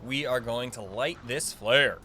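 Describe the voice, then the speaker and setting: A man's voice: medium pitch, measured pace, noisy background. A young man giving casual, informal game guide commentary, rambling and thinking aloud as he plays. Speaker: gaming video creator. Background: faint game music.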